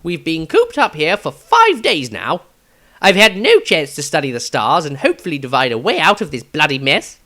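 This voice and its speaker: A man voicing a fussy, eccentric wizard, expressive, wordy and grumbling, in a fantasy diary story. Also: fairly high pitch, moderate pace, exaggerated voice. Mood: exasperated. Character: fussy, eccentric male wizard, exasperated and animated.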